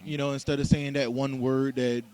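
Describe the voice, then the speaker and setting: A man's voice: mid-range voice, level tone, moderate pace, a little mellow, clean tone. A man interviewing a guest in a chatty, questioning way with loose slang. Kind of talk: casual interview talk.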